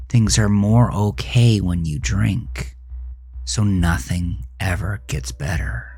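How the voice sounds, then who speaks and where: An actor playing a jaded man who looks back on his angry teenage years in a brooding, confessional horror monologue with halting pauses. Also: low voice, creaky, breathy edge, dry recording, exaggerated voice. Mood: wistful, bitter. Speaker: narrator of a horror audio drama. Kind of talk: first-person scripted horror narration, dramatic monologue. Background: music.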